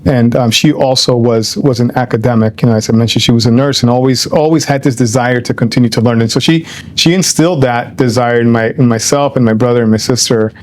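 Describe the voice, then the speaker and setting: A man's voice: medium pitch, fairly even intonation, quick pace, few pauses. An older man from Ecuador, interviewed as a guest, speaking reflectively with many fillers and restarts and dropping in Spanish phrases.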